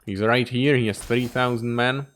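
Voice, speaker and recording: Swearing, exasperated gamer with a smooth voice. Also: male voice, medium pitch, steady tone, normal speed, a bit bright. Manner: swearing, exasperated running commentary. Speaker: gaming YouTuber. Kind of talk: strategy game playthrough commentary.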